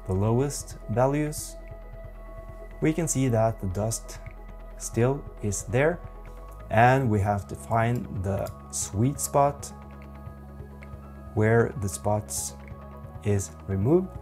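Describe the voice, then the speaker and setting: A man in his forties with a Norwegian accent, giving a software tutorial in a methodical, halting way. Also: on the low side, faint music behind. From Norway. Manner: slow, methodical, halting explanations. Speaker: photography instructor.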